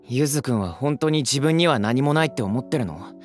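An actor playing an earnest, gentle, worried teenage boy idol with emotive delivery in a Japanese audio drama. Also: medium pitch, lively intonation, brisk, soft background music, lightly acted. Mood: earnest, troubled.

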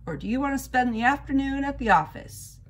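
A woman voicing a stern, exasperated male schoolteacher in a storybook, measured and admonishing. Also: sits high, expressive, pitched above the performer's own voice.

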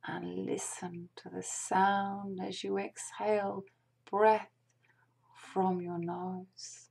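A woman guides a meditation in a soft, breathy near-whisper with a creaky edge. Her delivery is extremely slow and gentle, leaving long pauses between short phrases. The tone is soothing and mellow. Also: mid-range voice, little pitch movement. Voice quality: hushed, breathy, partly whispered.

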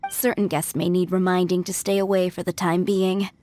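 Actress as a formal, courteous head maid. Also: female voice, fairly low pitch, flat delivery, moderate pace, warm tone, lightly acted. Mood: courteous, discreet. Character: polite, composed young female head maid. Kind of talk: video game character dialogue. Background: game music.

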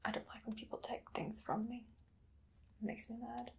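A woman performing an ASMR roleplay as a possessive, doting vampire mistress, with breathy, theatrical, often whispered speech that coaxes and menaces. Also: on the high side, little pitch movement, moderate pace. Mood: coaxing, possessive. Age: young adult. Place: small dim indoor room.

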